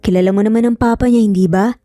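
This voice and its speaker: A woman voicing a calm, protective young man, a loyal friend who talks gently and in measured phrases in an audio story. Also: medium pitch, dry recording, studio quality, soft background music, lightly acted. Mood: sombre.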